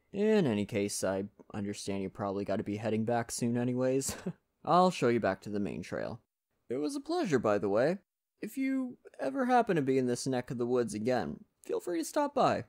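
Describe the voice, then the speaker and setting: A man playing a friendly, folksy lumberjack in a soft-spoken ASMR roleplay, talking gently and reassuringly to the listener. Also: medium pitch, creaky. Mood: warm, modest. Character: friendly Canadian lumberjack, gentle nature-loving father.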